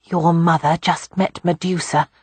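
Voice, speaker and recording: A man's voice: fairly high pitch, little pitch movement, measured pace, a little mellow, husky, no room sound. A man voicing an earnest, eccentric young woman in an audiobook, candid and enthusiastic. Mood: eager.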